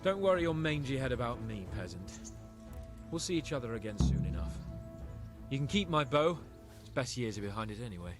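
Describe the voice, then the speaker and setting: A man's voice: medium pitch, very animated, brisk, over music, lightly acted. An actor playing a pompous, mocking young nobleman in a medieval video game. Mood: scornful, sulky. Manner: pompous, taunting. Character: haughty, mocking young nobleman, cocky and scornful.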